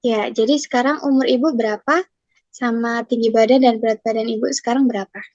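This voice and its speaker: A woman's voice: on the high side, steady tone, brisk, darkish tone, no echo. A young woman acting as a nutrition counselor, asking polite, formal questions step by step. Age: young adult. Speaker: nutrition counselor in a class role-play. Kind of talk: scripted role-play consultation. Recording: dry, muffled lo-fi recording.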